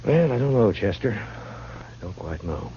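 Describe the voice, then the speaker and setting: Stern Old West lawman, low plainspoken authority, dull vintage radio sound. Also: very animated, unhurried, mellow, a little hiss or hum. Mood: low-key, businesslike. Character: stern, weary frontier marshal, commanding and plainspoken. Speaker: town marshal. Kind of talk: old-time radio western acting.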